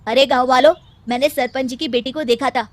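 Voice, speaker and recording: A woman's voice: sits high, fairly even intonation, a little fast, dry recording, lightly acted. A woman voicing a loyal, resolute young village brother in a cartoon story, speaking in a declarative way. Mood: urgent. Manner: loud, declarative.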